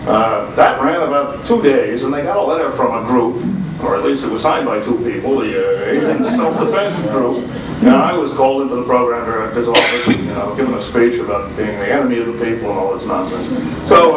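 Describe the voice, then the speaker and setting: A man's voice: medium pitch, lively intonation, normal speed, over a noisy crowd. A radio host, a man, talking informally and wryly on a panel. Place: convention room, informal video recording. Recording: echoey room, distant mic, clear background noise.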